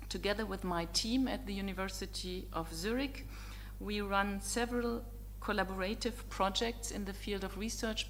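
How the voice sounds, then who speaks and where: A middle-aged woman whose first language is German, a visiting professor giving a formal, courteous opening address to students, measured and dotted with ums and uhs. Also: mid-range voice, slight background noise.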